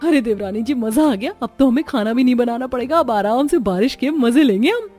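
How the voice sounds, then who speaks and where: Lady playing a cartoon young bahu, high whiny voice, argues and makes excuses, music behind. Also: lively intonation, a little fast, dark tone, natural voice. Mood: eager. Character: defiant young daughter-in-law, breezy and excuse-making.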